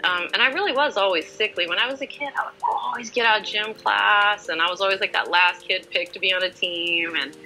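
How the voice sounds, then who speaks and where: A woman's voice: mid-range voice, fairly quick, few pauses, bright, forceful tone, soft background music, rough recording. A woman in her forties answering as an interview guest in a casual, chatty, informal and self-deprecating way. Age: 40s.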